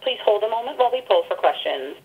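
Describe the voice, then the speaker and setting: A woman's voice: a little high, expressive, fast talker, a little hiss or hum. Formal female operator on tinny phone line. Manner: formal, polite. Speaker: conference call operator. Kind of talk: scripted call instructions.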